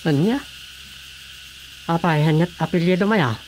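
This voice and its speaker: A man's voice: a little high, unhurried, a little mellow, close to an everyday voice, poor audio. A man playing a chatty, inquisitive village councillor with a Filipino accent in comedic radio drama dialogue. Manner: questioning, conversational. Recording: faint background noise.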